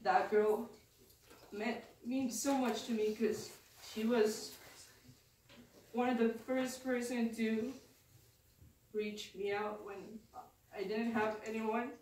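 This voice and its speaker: Teen girl giving a talk, slow and hesitant, echoey room, faint hiss. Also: on the high side, steady tone, a little mellow, distant mic. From Philippines. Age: teens. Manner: halting, hesitant, with many restarts. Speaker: student speaker. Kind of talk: personal testimony speech. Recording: echoey room, mic from afar, faint noise.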